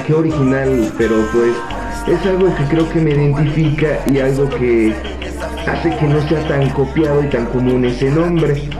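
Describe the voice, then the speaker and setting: A sixteen-year-old Mexican boy from Puebla, talking softly and hesitantly into a webcam in a small office, with many fillers. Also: mid-range voice. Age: teens. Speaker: young reggaeton artist. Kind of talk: self-interview to webcam. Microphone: webcam at desk. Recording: echoey room with music behind.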